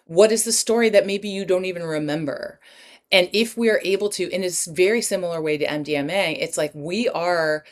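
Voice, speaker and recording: A woman with a notably low voice speaks as a podcast guest. She talks passionately and rambles, jumping into asides and dropping a swear word now and then. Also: brisk. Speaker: guest, breathwork practitioner and writer. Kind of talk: podcast interview talk.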